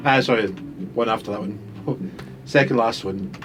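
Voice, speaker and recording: Deep-voiced man over guitar music. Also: unhurried, poor audio. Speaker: guitarist and lead singer. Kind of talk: casual chat.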